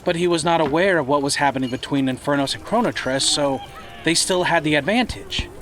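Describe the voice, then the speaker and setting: Eager pupil of a storyteller, male actor, sharp bright tone, awed delivery for fantasy radio play. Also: on the high side, brisk, close to an everyday voice. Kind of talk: fantasy audio drama acting.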